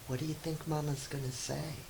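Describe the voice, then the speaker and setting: A woman teacher reading a picture book to children, with chatty, expressive asides and questions. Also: low voice, brisk, vocal fry. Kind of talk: animated read-aloud with chatty asides. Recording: roomy echo with faint hiss.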